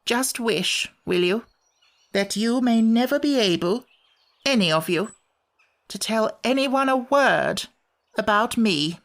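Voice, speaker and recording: A man voicing a cranky, put-upon little furry wish-granting creature from a storybook, with a shrill voice that cracks when worked up. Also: animated, slow pace, fairly bright tone, heavily acted. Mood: agitated. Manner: indignant, complaining.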